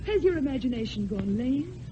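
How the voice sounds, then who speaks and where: A woman's voice: sits high, very animated, a little fast, dark tone. An actress playing a romantic, demanding young lady with refined, formal theatrical phrasing. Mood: teasing, demanding. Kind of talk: mid-century theatrical classical stage acting. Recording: muffled, noisy old radio recording.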